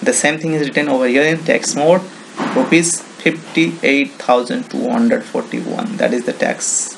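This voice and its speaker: A man with an Indian accent talks through a screen tutorial in a gravelly, mid-pitched voice. He explains each step in short, simple phrases and often repeats himself. There is faint noise on the recording. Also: steady tone, a little slow, rough recording. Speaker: software tutorial presenter. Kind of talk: informal screen-recording walkthrough.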